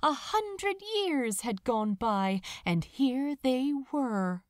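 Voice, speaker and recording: Slow, expressive woman storyteller, higher-pitched voice. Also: no room sound, clean studio sound. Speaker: fan fiction audiobook narrator. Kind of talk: expressive scripted story narration.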